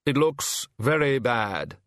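A man's voice: medium pitch, expressive, no echo, studio quality, pitched above the performer's own voice. A man voicing a red-hooded gift-bringer with a heavy, puzzled, gloomy voice. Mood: glum. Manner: slow, heavy, glum.

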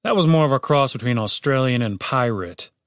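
A man's voice: medium pitch, expressive, a little fast, no room sound, natural voice. A man playing a laid-back, wisecracking young lute player in an audiobook story, dry and casual. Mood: explaining, wry.

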